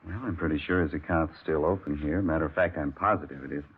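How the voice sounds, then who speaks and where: An actor playing a genial, businesslike bank manager in a 1950s radio drama. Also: male voice, rumbling low, steady tone, fast. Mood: helpful. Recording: tinny 1950s radio broadcast with faint hiss.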